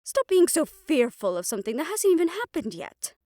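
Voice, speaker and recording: A woman playing a stern, protective female doctor who is softly fond of her listener, speaking in a measured, formal, faintly haughty way in an audio roleplay. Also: sits high, very animated, clean studio sound. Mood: earnest, insistent. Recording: roomy echo.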